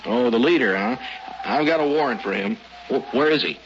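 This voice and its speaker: An actor playing a gruff, contemptuous military officer in an old-time radio drama, brusque and commanding. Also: male voice, medium pitch, fairly quick, natural voice. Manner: brusque, barking orders. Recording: dull lo-fi radio recording, noisy.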